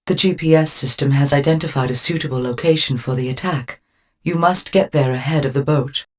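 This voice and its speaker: A woman voicing a poised, flirtatious car computer in a spy video game, giving measured automated announcements. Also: extremely low pitch. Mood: cool, measured.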